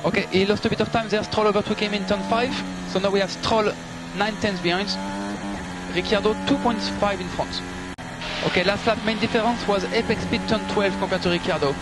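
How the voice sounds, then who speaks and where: A high-pitched male race engineer talks over team radio with very loud car noise behind him. He gives clipped, procedural updates and often repeats his instructions. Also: steady tone, a little fast.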